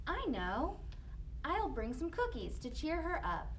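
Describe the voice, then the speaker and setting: Woman playing naive little fairy tale girl, high n slow, earnest, noisy room. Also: big pitch swings, full character voice. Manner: earnest, exaggerated wide-eyed delivery. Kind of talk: children's storybook performance. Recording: noisy room, distant microphone.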